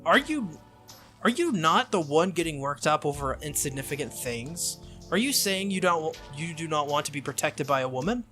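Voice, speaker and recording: A man's voice: a little high, normal speed, sharp tone, faint music behind. A man voicing a stern, proud female knight spirit from a visual novel, speaking formally and insistently. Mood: indignant.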